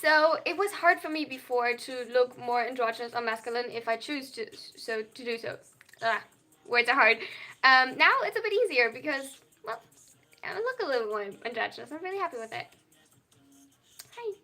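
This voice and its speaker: Bright high feminine voice. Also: female voice, lively intonation, normal speed. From Germany. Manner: reads viewer chat aloud and answers, tends to over-explain. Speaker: livestreamer. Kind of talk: casual live-stream chat.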